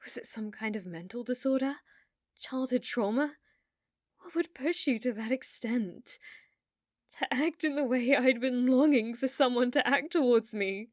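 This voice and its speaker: A British woman playing an obsessive, manipulative, adoring girlfriend in an intimate ASMR roleplay, soft and breathy, often dropping into whispers. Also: fairly high pitch, no room sound, studio quality. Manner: slow, coaxing, intimate.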